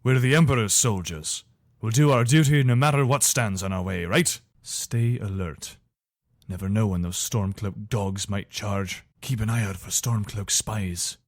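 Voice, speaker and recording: A man's voice: fairly low pitch, measured pace, dry recording, studio quality. A man playing a loyal, wary soldier of an imperial legion in a video game, speaking in an earnest, soldierly manner. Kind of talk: video game character voice lines.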